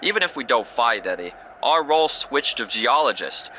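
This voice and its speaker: A man's voice: medium pitch, sing-song, moderate pace, pushed and bright, a little hiss or hum, poor audio. A man playing a cheerful, oddly oblivious male biologist. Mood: chipper.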